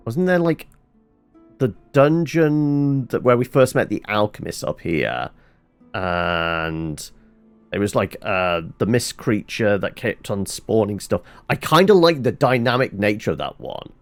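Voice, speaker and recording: A man giving casual video game commentary, rambling and thinking aloud to himself. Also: medium pitch. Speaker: gameplay streamer.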